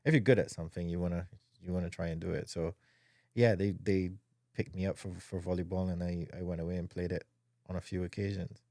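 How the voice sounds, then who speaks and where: A man, a guest speaking casually in an interview, soft-spoken and hesitant with many ums and repeated words. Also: deep voice, steady tone, fast talker, no room sound, clean studio sound. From Barbados. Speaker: guest, para-bobsleigh athlete.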